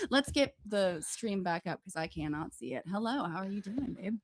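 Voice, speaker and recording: A woman's voice: medium pitch, animated, normal speed, slight background noise. A woman in her forties hosting a casual livestream interview, with a playful, teasing manner. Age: 40s. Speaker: interview show host. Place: indoors on a couch.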